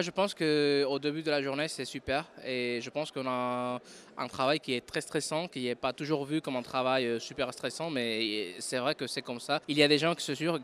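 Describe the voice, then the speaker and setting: A man's voice: medium pitch, slight background noise. Spanish man speaking hesitant French. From Spain. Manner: hesitant, many fillers, searching for French words. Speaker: interview guest, recruiter. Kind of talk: casual interview.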